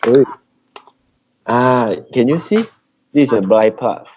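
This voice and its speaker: A man with a Malaysian accent giving an update in an informal work meeting on a video call, in halting non-native English. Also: medium pitch, expressive, darkish tone, husky. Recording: dull, noisy video call with clicks.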